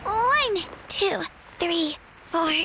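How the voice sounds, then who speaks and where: A woman's voice: very high voice, lively intonation, very slow, sharp tone, a little hiss or hum, exaggerated voice. A woman voicing a young girl athlete in a video game, sweet, modest and earnest. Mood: eager. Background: faint game music.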